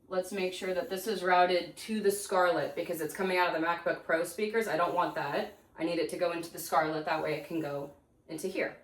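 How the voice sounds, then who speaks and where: A woman giving a casual, chatty hands-on tutorial, informally thinking aloud as she goes. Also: fairly low pitch. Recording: echoey room, faint background noise.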